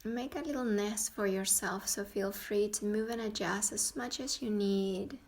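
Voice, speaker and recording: A woman guiding a yoga nidra meditation in a soothing way, leaving long pauses between phrases. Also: mid-range voice. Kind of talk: guided meditation.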